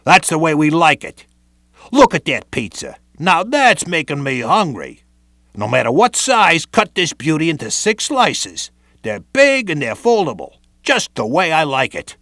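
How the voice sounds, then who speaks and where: A man's voice: fairly high pitch, very animated, measured pace, fairly bright tone, no room sound, clean studio sound. A man narrating a scripted training video in a streetwise tough-guy patter.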